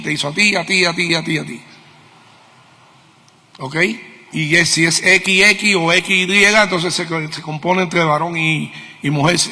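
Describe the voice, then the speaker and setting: A man's voice: high voice, pushed and bright. A middle-aged man with a Cuban Spanish accent preaching a sermon, teaching emphatically with questions and repeated key words. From Cuba. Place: church meeting hall. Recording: long reverb of a hall.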